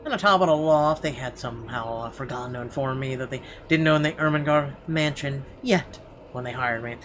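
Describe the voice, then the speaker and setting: A man's voice: medium pitch, brisk, fairly bright tone, slight background noise. A man hosting a playthrough, reading narration aloud and dropping in wry, sweary asides. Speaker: let's play host. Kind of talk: reading game narration aloud with off-the-cuff comments. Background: game music.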